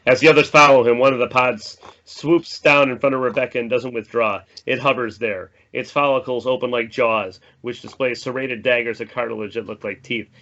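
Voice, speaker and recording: A man's voice: medium pitch, fairly even intonation, fairly quick, few pauses, sharp tone. Man narrating action, slightly dull recording. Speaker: stage directions reader. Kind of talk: scripted narration of a screenplay table read.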